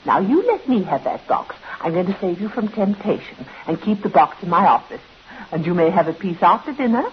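An actress playing a dutiful, efficient nurse in a vintage radio drama, polite but firm, on a muffled old recording. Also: female voice, lowish voice, animated, brisk, slight background noise, natural voice. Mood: playfully firm. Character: brisk, bossy, dutiful nurse running a care home. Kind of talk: 1950s radio acting.

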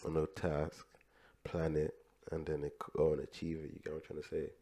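A young man co-hosting a podcast, his voice very deep and mellow. He grew up in Dublin and is of Nigerian heritage. He talks in a loose, easy way with many filler phrases. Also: monotone, brisk. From grew up in Dublin, Ireland; Nigerian. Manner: laid-back, heavy on filler phrases. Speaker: podcast co-host. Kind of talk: casual chat.

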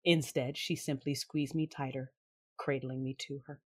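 A low, husky female Sith lord. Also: fairly even intonation, normal speed, a little mellow. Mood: grave, tender. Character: proud, defiant female Sith lord, older sister narrating her story. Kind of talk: dramatic first-person audio drama narration and dialogue.

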